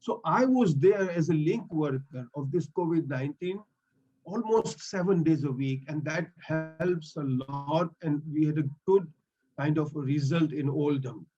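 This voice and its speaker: Swahili-speaking guy in his 50s, earnest, fairly high voice, muffled video call audio. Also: unhurried, mellow, faint music behind. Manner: earnest, non-native English phrasing. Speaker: mental health link worker. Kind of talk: online meeting contribution.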